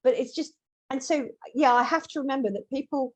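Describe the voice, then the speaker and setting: A woman's voice: high voice, brisk. A woman hosting a webinar, chatting casually and reading out audience questions. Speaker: webinar host.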